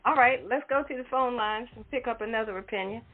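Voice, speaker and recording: A woman's voice: on the high side, fast talker. A woman hosting a casual talk show, moderating the panel and taking calls. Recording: tinny telephone-like sound.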